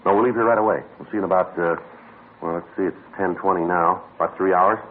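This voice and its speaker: Casting: a man as a clipped, deadpan detective sergeant narrator, very deep and brisk, old radio play. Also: slight background noise, natural voice. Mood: businesslike. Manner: clipped, matter-of-fact delivery. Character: gruff male police sergeant narrator, terse. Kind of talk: 1950s radio drama narration. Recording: tinny old radio broadcast with music.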